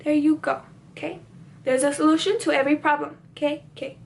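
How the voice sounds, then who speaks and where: A woman's voice: high voice, fairly even intonation, quick pace, gravelly. A teenage girl whose first language was Spanish, chatting casually through a Q&A on her vlog, with lots of "like" and restarted sentences. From United States. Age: teens. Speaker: beauty vlogger.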